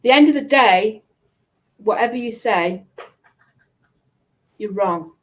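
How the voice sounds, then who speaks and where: Slow-talking woman, accusatory livestream rant. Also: mid-range voice, animated. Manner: accusatory, emphatic, repetitive. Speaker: live streamer. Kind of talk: confrontational livestream monologue.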